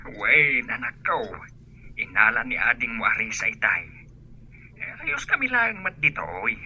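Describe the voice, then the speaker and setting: A man's voice: mid-range voice, big pitch swings, a little slow, sharp tone, slightly rough, full character voice. An actor playing a grateful, caring rural father in a radio drama, heard through a telephone filter. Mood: tender, grateful. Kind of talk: Ilocano radio drama acting. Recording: muffled, harsh phone-line effect.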